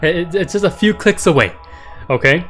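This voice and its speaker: A man hosting a stock market livestream in casual chat, his voice raspy and sore, rambling and repeating words, often tagging "right?" and "okay?". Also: sits high, lively intonation, moderate pace, a bit bright, soft background music.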